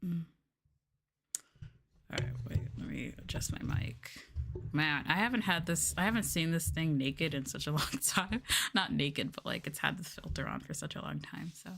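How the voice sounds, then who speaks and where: A soft-spoken woman chatting casually and playfully with viewers as the host of an ASMR livestream. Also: low-pitched, big pitch swings, normal speed, creaky, a little hiss or hum. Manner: soft-spoken, playful, drifting into close-mic whispers. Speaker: VTuber streamer and host.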